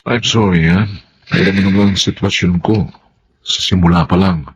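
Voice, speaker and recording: A man acting a placating young Filipino father in a radio drama, with a husky, rough voice. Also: sits low, fairly even intonation, normal speed, muffled recording, heavily acted. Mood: firm, placating. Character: placating young father caught between girlfriend and child.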